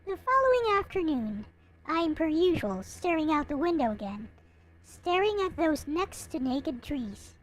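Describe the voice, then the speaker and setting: A woman reading a visual novel aloud in an expressive, theatrical way, with some casual commentary. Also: high-pitched, a little slow, poor audio. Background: background music.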